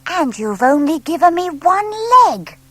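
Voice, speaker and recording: A woman's voice: high voice, sing-song, a little slow, a bit bright, no room sound, voice pushed up, exaggerated voice. A woman voicing a small young male barn owl, proud, eager and blunt, in a children's audiobook. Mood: indignant. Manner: childlike, hesitant when flustered, blunt.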